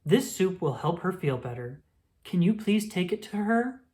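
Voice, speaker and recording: A woman voicing a caring but firm mother squirrel in a storybook, gentle and encouraging. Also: on the low side, sing-song, moderate pace, voice pushed up. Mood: coaxing.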